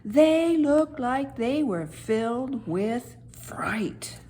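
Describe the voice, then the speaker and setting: Woman voicing a slow, wide-eyed storybook stone. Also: a little high, expressive. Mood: wondering. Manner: dramatic, hushed whispers and emphatic repetition. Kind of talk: children's Bible storytelling.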